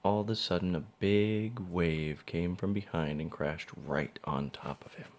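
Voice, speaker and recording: A man's voice: deep voice, unhurried, darkish tone, a little hiss or hum. A man reading a children's storybook aloud as narrator. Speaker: father reading a picture book.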